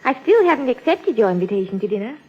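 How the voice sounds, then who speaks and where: A fairly high young female innkeeper in vintage radio drama. She is friendly, polite and playful. The recording is dull with faint noise. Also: sing-song, fast talker. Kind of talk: 1950s radio drama acting. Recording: muffled, noisy old radio recording.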